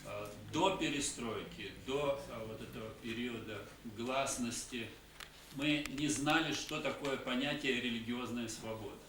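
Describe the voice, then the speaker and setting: A man giving a conference talk in short phrases, pausing often with hesitation sounds. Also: mid-range voice, fairly bright tone. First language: Russian. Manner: halting, many hesitation sounds. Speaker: conference speaker. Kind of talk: conference talk with pauses for interpreter. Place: conference hall. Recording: echoey hall, distant mic, faint hiss.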